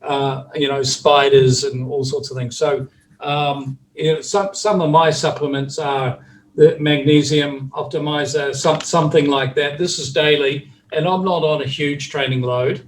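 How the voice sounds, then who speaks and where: Kiwi man, slightly high voice, chatty recovery-specialist type on a video call, faint background hiss. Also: fairly even intonation, unhurried, distant mic, low-quality recording. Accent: New Zealand. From New Zealand. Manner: chatty, many fillers and restarts. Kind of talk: casual video call chat.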